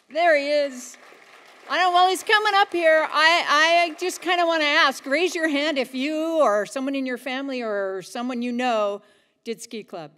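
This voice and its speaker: A woman presenting an award on a banquet hall stage, lively and engaging the audience. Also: high-pitched.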